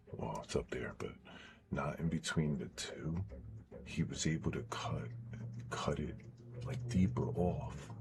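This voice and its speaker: A young man with a very low baritone, talking to his live-stream chat in a blunt, profane, offhand way. His voice is strained and sore from recent throat surgery, and now and then it thins out to a whisper. A faint hum of noise sits under him.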